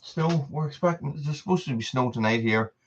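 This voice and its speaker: A man's voice: mid-range voice, a little fast, lo-fi sound, slight background noise. A man, hosting a casual live stream and chatting to viewers. He rambles, repeats himself and laughs often. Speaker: channel host on a live stream.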